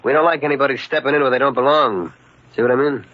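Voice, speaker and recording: A man plays a tough American henchman in a radio crime drama. He talks in blunt street slang at a middle pitch, calmly threatening and matter-of-fact. The sound is a dull, muffled old broadcast recording. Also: quick pace, lightly acted. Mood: knowing, threatening.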